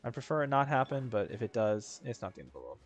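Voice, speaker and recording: A man doing casual, informal gameplay commentary on a livestream, thinking out loud and swearing freely. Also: medium pitch, big pitch swings, fast talker, muffled recording. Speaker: game streamer. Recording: game music behind the voice. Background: video game music.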